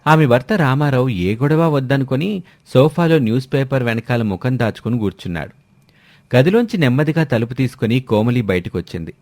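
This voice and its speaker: A man's voice: medium pitch, animated, brisk, darkish tone, no room sound. A man narrating a scripted moral story as its storyteller. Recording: music behind the voice. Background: music.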